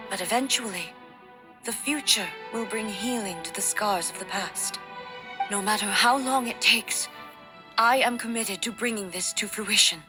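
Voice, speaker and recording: A woman playing a slow, solemn warrior goddess. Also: medium pitch, close to an everyday voice. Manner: formal, measured, resolute. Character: solemn, dignified female warrior deity ruling a nation. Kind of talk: scripted video game voice acting.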